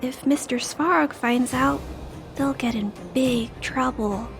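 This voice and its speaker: A woman's voice: sits high, slow pace, creaky, over music, heavily acted. A woman voicing a timid, kind-hearted little girl in a game, speaking in an earnest and hesitant manner. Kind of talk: scripted game voice acting.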